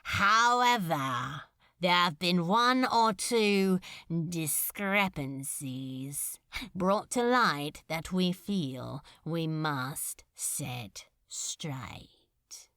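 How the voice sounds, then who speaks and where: A woman acts out a coldly courteous demon lord from a storybook, dragging each phrase out very slowly. He is rasping and strangely accented, leaning hard on odd words as he toys with his listener in a dry, close recording. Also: lowish voice, lively intonation, no room sound, pitched below the performer's own voice, heavily acted. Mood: cold, mocking. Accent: strange, unplaceable. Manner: slow, forceful, unpredictable stress on words, toying. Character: menacing, authoritative male demon lord, coldly courteous, archaic speech.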